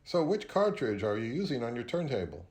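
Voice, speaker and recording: A man's voice: medium pitch, big pitch swings, brisk, darkish tone. A man hosting a casual interview, asking questions in everyday conversational speech. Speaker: host, interviewer.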